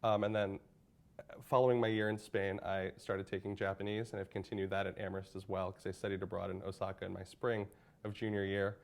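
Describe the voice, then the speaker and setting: A young man with a gravelly, low voice answers interview questions as a graduating college senior. He speaks earnestly, often restarting and filling pauses with "um". His tone is thoughtful and sincere. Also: flat delivery. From United States. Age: young adult. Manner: earnest, hesitant, many restarts and fillers.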